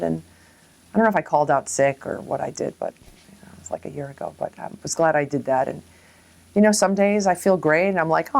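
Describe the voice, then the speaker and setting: A woman reflecting on personal experiences in an interview, informally, acting out bits of remembered speech. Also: sits low. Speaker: local resident interviewee.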